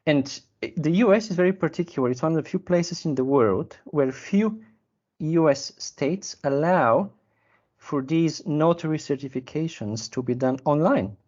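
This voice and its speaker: A man, a sales manager explaining a client case in a measured, careful way with pauses to find words. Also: on the high side, lively intonation, a little mellow, lo-fi sound. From Bulgaria. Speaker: sales and business development manager. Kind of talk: business interview.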